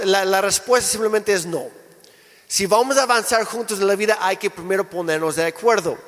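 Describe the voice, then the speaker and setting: A man from Canada, a native English speaker, preaching a relaxed sermon in Spanish with jokes and restarts. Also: high voice. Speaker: pastor. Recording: echoey hall with long reverb.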